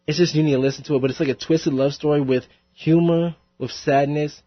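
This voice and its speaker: A man's voice: on the high side, steady tone, a little fast, no echo. A young man, a rapper, chatting casually in a radio interview, laughing often and rambling with plenty of fillers. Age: young adult. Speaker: rapper guest. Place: college radio studio. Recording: dry, slightly dull radio studio sound.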